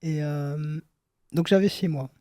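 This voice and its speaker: A young man hosts a relaxed chat with his mother and keeps asking short, curious questions. His voice sits a little high with a soft, dark tone, recorded close and dry. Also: slow pace, dry recording. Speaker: host interviewing his mother. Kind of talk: casual chat.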